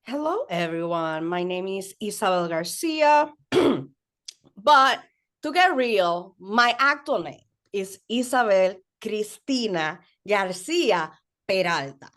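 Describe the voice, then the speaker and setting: Woman, native Spanish speaker, from the Dominican Republic, unhurried pace, mid-pitched voice, playful panelist, video call. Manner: lively and playful, with a slow unhurried delivery. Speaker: panelist, mental health educator. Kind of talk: webinar introduction.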